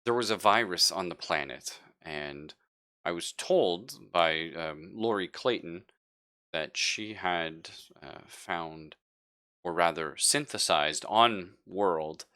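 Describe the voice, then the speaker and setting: A man voicing a hesitant, evasive ship's doctor in a sci-fi tabletop game, explaining things haltingly. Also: deep voice, bright, forceful tone, clean tone, dry recording, studio quality, heavily acted. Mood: uneasy, evasive. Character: Flynn, hesitant evasive ship's doctor waking from long cryosleep.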